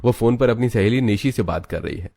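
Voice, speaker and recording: A man narrating a cartoon story in a steady storytelling manner. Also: medium pitch, brisk, dry recording, studio quality. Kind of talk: scripted narration.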